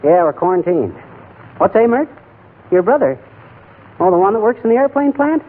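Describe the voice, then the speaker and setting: An actor playing a blustering, scheming husband in a 1940s radio comedy, folksy and fumbling over big words. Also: male voice, high-pitched, big pitch swings, moderate pace. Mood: folksy, eager. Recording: scratchy, narrow-band 1940s radio broadcast.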